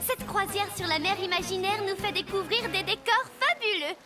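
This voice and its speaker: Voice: extremely high pitch, normal speed. A performer voicing a cheerful, helpful young child pirate sidekick in a cartoon, with an enthusiastic delivery. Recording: music bed behind.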